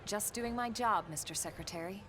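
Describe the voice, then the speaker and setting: Game role: cool, professional woman officer played by an actress, middle register, unhurried authority, slight ambience behind. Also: medium pitch, noisy background, lightly acted. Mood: composed. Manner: calm, matter-of-fact. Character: composed, businesslike female military officer, quietly confident. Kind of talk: video game cutscene voice acting.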